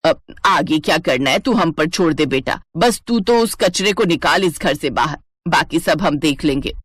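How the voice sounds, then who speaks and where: A scornful middle-aged Indian mother-in-law played by a woman from a cartoon drama. She taunts with theatrical exclamations in a lowered voice, recorded close and dry. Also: expressive, moderate pace, husky, no room sound. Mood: scheming. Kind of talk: Hindi cartoon family drama acting.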